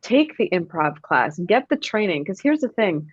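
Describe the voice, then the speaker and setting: Woman actor, interview guest, slightly low pitch, dry dull recording. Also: normal speed, slightly rough, no room sound. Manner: reflective, expressive, emphatic. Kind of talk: casual interview chat.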